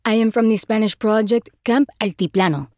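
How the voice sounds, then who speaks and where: A woman representative calmly and carefully reading out projects in a scripted roll call. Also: on the high side, a little fast, dry recording, clean studio sound.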